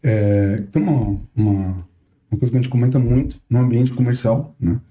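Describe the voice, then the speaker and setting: A man co-hosting a casual podcast who speaks in a measured, deliberate way and repeats points for emphasis. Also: mid-range voice, mellow, rough recording. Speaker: podcast co-host.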